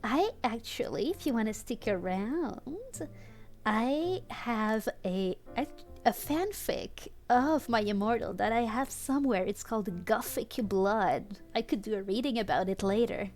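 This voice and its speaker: A woman streams a comedic read-aloud of fan fiction in a fairly high voice. She takes it at a slow pace and has a giggly manner, dropping asides to her viewers. Soft music plays behind her. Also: expressive. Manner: giggly, often breaking into laughter while reading. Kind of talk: comedic live fanfic reading with chat asides.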